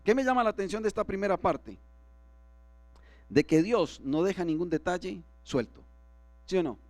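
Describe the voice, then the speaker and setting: A man's voice: sits high, animated, moderate pace, darkish tone, no room sound. A man preaching as pastor to his congregation, often asking them for agreement. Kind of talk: sermon. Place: church.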